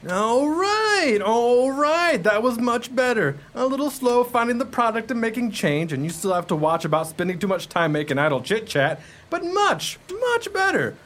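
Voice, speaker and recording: A man's voice: very high-pitched, very animated, normal speed, few pauses, fairly bright tone, full character voice. A man acting in a radio play as a brash, bossy veteran ice cream salesman with a hustler's patter. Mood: approving, bossy.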